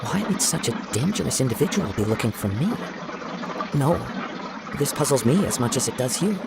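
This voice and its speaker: A reflective young wandering samurai from a video game. His voice is mid-pitched, his speech polite and reticent. There is loud noise behind it. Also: big pitch swings, brisk, gravelly. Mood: puzzled, serious. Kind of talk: video game character acting.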